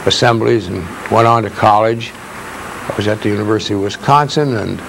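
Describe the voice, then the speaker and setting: An older American man, a veteran actor, reminiscing in a reflective, measured way. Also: lowish voice, vocal fry, slight background noise, low-quality recording. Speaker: veteran radio and theater actor. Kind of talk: reminiscing, unscripted talk.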